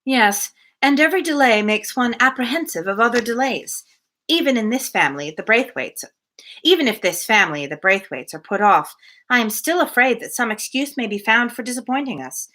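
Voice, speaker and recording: A woman voicing a gentle, anxious married gentlewoman in a storybook reading, with careful, formal phrasing. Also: on the high side, animated, moderate pace. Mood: apprehensive.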